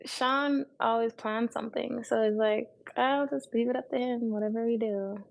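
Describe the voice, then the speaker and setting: A young woman in casual conversation as a podcast co-host, musing in a low-key way. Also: steady tone, moderate pace, gravelly. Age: young adult. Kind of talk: casual chat.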